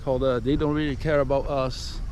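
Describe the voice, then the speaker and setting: A man's voice: medium pitch, little pitch movement, a little fast. A young man from Brazil with a Brazilian Portuguese accent, a local guide chatting enthusiastically outdoors with plenty of fillers. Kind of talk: casual chat. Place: outdoors in a city. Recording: outdoor video with loud street noise.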